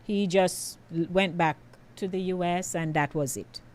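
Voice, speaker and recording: Slow, low-voiced woman hosting radio. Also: a little mellow. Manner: chatty, laughs often. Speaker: radio host. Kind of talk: call-in radio chat.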